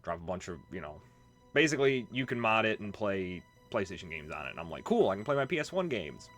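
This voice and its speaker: A man with a slightly low voice talks through a video game as its host. He is chatty and informal, full of conversational asides. Music is clearly audible behind him. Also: big pitch swings, fast talker, a bit bright. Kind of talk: casual let's play commentary.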